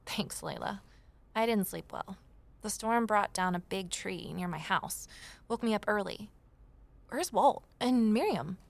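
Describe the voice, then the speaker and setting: An actress playing an anxious, determined amateur sleuth in an audio drama, earnest and introspective. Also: female voice, mid-range voice, lively intonation, measured pace, creaky, no echo. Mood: tired, wary. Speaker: lead character and narrator. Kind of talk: naturalistic audio drama dialogue and narration.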